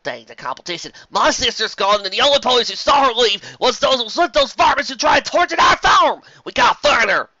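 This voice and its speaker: A man voicing a furious, distraught country farm mare, a protective older sister in a story, with loud, strained, blustering folksy delivery. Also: very high voice, sing-song, brisk, few pauses, dry recording, muffled recording, voice pushed up, exaggerated voice. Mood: furious, desperate.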